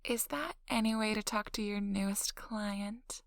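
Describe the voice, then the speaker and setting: Woman playing a slow, sultry, teasing socialite. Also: mid-range voice, fairly even intonation, gravelly, dry recording, clean studio sound. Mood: teasing, flirtatious.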